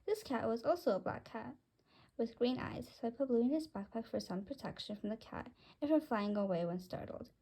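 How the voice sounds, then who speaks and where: High, breathy, creaky woman storyteller. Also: animated, brisk, dark tone, rough recording. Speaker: storybook narrator. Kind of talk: scripted first-person story read aloud.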